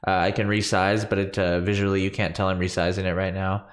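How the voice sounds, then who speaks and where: A man's voice: deep voice, level tone, a little fast, echoey room. A man in his thirties, a bit stuffy from allergies, casually walking through his coding project on a livestream with lots of ums and self-corrections. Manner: rambling, filler-heavy, self-correcting. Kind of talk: casual screen-share coding walkthrough. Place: small home room with purple lights. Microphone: large studio condenser mic on boom arm.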